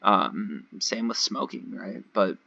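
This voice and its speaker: A low-voiced, slightly hoarse man with a raspy edge chats through a gameplay video. He rambles conversationally and speaks straight to his viewers. The recording sounds a little dull and lo-fi. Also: lively intonation, takes it slowly, bright, forceful tone. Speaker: gaming video creator. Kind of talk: casual gameplay commentary. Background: voice-over recorded over gameplay.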